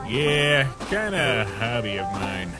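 A man's voice: mid-range voice, sing-song, slow, loud music behind the voice. A man voicing a laid-back, wry skeleton who jokes casually in a comic dub. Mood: laid-back, joking. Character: laid-back joking male skeleton monster. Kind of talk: comic dub character acting.

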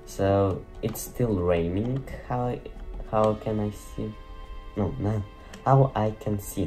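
A man with a Russian accent plays through a game from a desk at home. He muses, corrects himself and chuckles, slow and mellow in tone, with faint game music behind. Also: lowish voice, poor audio. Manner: musing, self-correcting, laughs at himself. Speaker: gaming channel host. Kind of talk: casual let's-play commentary. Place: home room.

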